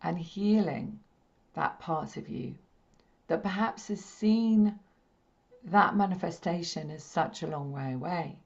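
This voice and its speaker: A woman with a slightly croaky, hoarse throat, leading a spiritual healing session in a gentle, repetitive, soothing way. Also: fairly low pitch, slow. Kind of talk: slow meditative teaching talk. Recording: dull lo-fi stream audio.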